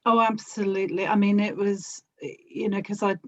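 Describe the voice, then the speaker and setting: A woman's voice: mid-range voice, level tone, moderate pace, no room sound. A middle-aged woman, chatting casually as an interview guest on a podcast. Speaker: podcast guest, audio drama actor and producer.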